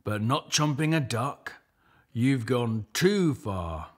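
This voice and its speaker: A man's voice: medium pitch, expressive, slow, pitched above the performer's own voice. A man voicing a fierce, menacing storybook lion, growling and drawing out the words emphatically. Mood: stern, menacing. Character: pack of fierce, menacing male lions.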